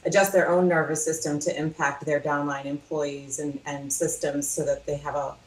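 Female, 30s. Low voice, explanatory guest delivery from a roomy, echoing space. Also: flat delivery, normal speed. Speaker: guest, leadership trainer. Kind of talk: explanatory interview talk. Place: small indoor room, video call. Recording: roomy echo, faint noise.

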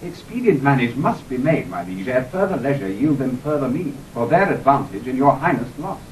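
An actor playing a brisk, deferential courtier advising his king in a Shakespearean audio drama. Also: sing-song, recorded from a distance, lightly acted, low-quality recording. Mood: businesslike. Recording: off-mic vintage recording, faint rumble.

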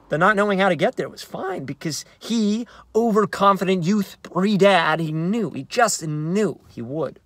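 A man in his thirties with an American accent, an actor reading a play as conversational, halting narration with uneven emphasis, recorded inside a car. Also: fairly high pitch, fairly bright tone. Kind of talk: dramatic narration of a play read on book. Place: inside a parked car in daylight.

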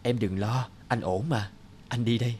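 A man playing a gentle, hesitant married businessman with a guilty streak in an animated drama. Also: mid-range voice, normal speed, slightly rough, close to an everyday voice. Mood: uneasy. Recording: music under the voice.

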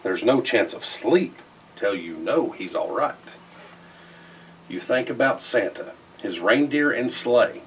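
A middle-aged man reads a rhyming children's picture book aloud in an expressive, theatrical way with emphatic phrasing. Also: mid-range voice, slow pace, distant mic, a little hiss or hum, rough recording. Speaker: storybook reader. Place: indoors in front of a festive backdrop.

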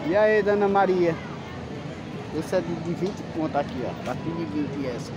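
A man's voice: on the high side, expressive, moderate pace, highs cut off. A man with a Northeastern Brazilian accent giving casual, informal commentary while walking through an outdoor street market with a handheld phone. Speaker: vlogger. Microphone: handheld phone behind the camera. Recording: loud crowd and street noise.

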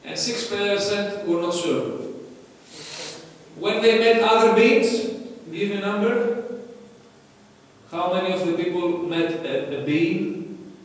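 A man's voice: high voice, slow, pauses often. A man with a Greek accent giving an interactive conference lecture, quizzing the audience in non-native phrasing. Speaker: conference speaker. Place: large conference hall. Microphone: lectern mic heard from afar. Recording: echoey hall from far off.

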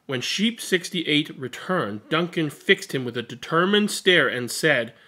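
A man in his thirties doing an expressive picture-book read-aloud with casual commentary. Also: a little high, measured pace. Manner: expressive, animated storytelling. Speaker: read-aloud storyteller and host. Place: small indoor room at home.

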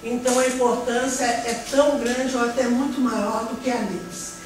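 A middle-aged Brazilian woman lecturing to a class, her fairly high voice bouncing around a big echoing room. She is picked up by a distant camera, with steady background noise. She explains at length, restarting phrases and checking in with her listeners. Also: normal speed. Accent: Brazilian Portuguese. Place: large classroom with a projector. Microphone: no mic, far camera. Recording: echoey room from far off, noisy.

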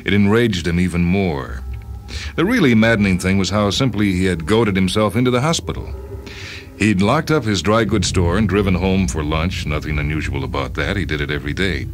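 A man reading a short story as a radio host, in measured, expressive dramatic narration. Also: low-pitched. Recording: music under the voice. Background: music.

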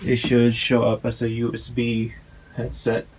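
A man giving a casual hands-on tutorial in a halting, informal way, recorded on a handheld phone at home. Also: medium pitch, monotone, a little hiss or hum, poor audio. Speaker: tutorial presenter. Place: small room at home, indoors.

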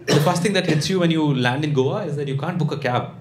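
A man in his thirties with a South Indian English accent performs stand-up comedy, conversational and deadpan, pausing and tagging lines with questions. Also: fairly high pitch. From Bangalore, India. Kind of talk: stand-up comedy routine to a live audience. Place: small indoor comedy club. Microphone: handheld-type stage microphone on a stand. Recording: echoey live club, audience noise. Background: audience laughter.

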